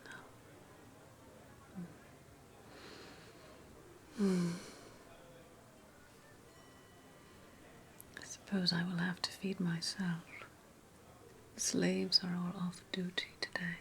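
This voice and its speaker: A woman's voice: on the low side, monotone, a little slow, creaky, a little hiss or hum. A woman voicing a haughty, playful ancient Roman noblewoman in a whispered ASMR roleplay, teasing and savoring her words, often repeating phrases. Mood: indulgent, snobbish.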